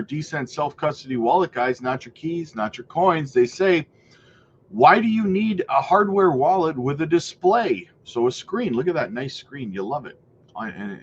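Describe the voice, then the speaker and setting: A man's voice: on the high side, highs cut off, slight background noise. A man with a stuffy nose from a cold, hosting a crypto news channel in a casual, talkative way, reading articles aloud and breaking in with exasperated asides to "guys.". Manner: exasperated asides, frequent "guys", halting restarts.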